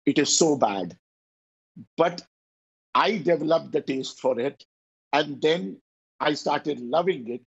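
A middle-aged man with an Indian accent, a chef answering interview questions over a video call in an earnest, halting way. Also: fairly high pitch, breathy edge. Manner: earnest, searching for words, halting. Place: indoor room at home. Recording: muffled video call audio.

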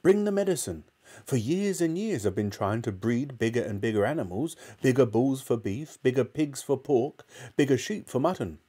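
A man reading a storybook aloud and voicing an excitable, easily worked-up small father with high yelping exclamations. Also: normal speed, breathy edge. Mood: eager. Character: small excitable middle-aged father, frantic.

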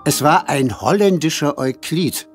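An actor playing a thoughtful village schoolmaster who tells an old tale in measured, literary phrases for an audio drama. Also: male voice, a little high, animated, faint music behind. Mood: measured, absorbed. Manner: measured, literary storytelling with emphatic swells. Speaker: storyteller. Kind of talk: German audio drama narration.

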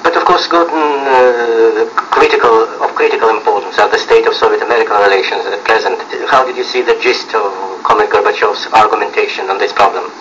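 Dull shortwave recording, bright-voiced male interviewer. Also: lowish voice, steady tone, brisk, few pauses. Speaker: radio interviewer. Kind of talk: formal interview questioning. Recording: dull, hissy 1980s shortwave radio broadcast.

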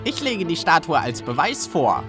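A woman voicing a condescending male prosecutor in an animated courtroom drama, formal and precise. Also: sits low, normal speed. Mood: formal, factual. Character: smug, formal male prosecutor, coldly needling. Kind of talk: animated courtroom fan dub acting. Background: background music.

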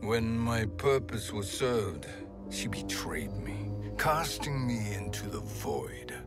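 Scheming elderly game sorcerer, slow and measured delivery, loud music underneath. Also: male voice, on the low side, expressive. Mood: bitter. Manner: measured, theatrical. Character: cunning old sorcerer, proud and scheming. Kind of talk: video game cutscene acting.

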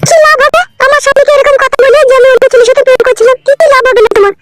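A woman playing a sly, scolding young village wife in a comedy cartoon, with a shrill, wheedling manner. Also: very noisy. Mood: defensive complaining. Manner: shrill, wheedling, quick to snap back.

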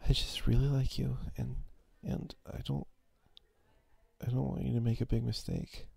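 A man playing a quiet, obsessive, possessive schoolboy in an ASMR roleplay, halting and breathy. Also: medium pitch, little pitch movement, no echo. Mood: apologetic, pleading. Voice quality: husky, breathy. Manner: halting, stammering, brooding. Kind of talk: ASMR roleplay, intimate acted monologue.

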